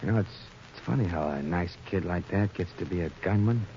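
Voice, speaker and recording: An actor playing an uneasy death-row convict, musing in halting slangy talk, in 1930s radio drama style. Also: male voice, low voice, mellow. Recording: scratchy, muffled 1930s radio recording.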